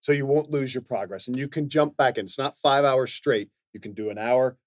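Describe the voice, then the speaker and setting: A man's voice: mid-range voice, fairly quick, no room sound. Casual man with a dry, close sound. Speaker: podcast co-host. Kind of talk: casual advice chat.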